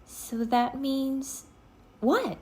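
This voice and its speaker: A woman's voice: sits high, very slow, mellow, voice pushed up. A woman voicing an eager, bold and friendly young girl adventurer in a storybook. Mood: puzzled.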